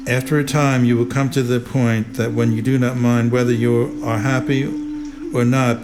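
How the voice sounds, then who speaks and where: A man reading spiritual teachings aloud in a measured, deliberate, instructive way. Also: medium pitch. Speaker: reader of spiritual commentary. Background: prominent music.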